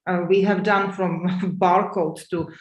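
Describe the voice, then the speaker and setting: A woman's voice: fairly low pitch, steady tone, slow pace, reverberant. A woman who runs a business, giving firm advice as a guest on an online panel, with nonnative phrasing. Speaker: business owner, guest speaker.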